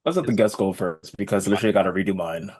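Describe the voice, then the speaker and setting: A man's voice: sits low, racing, a little mellow, slightly rough. A man co-hosting a podcast, speaking in casual, conversational chat. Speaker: podcast co-host.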